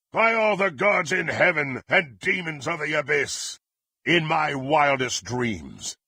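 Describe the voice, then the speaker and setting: An actor playing a power-hungry, contemptuous dark warrior in a fantasy video game, with a gravelly, husky voice and haughty, theatrical, archaic phrasing. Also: male voice, mid-range voice, dry recording, studio quality, exaggerated voice. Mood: exultant, power-drunk.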